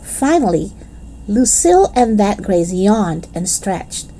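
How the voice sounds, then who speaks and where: Expressive woman reading stories, slow and mellow. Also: mid-range voice, rough recording. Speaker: children's book reader. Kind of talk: expressive scripted storybook narration.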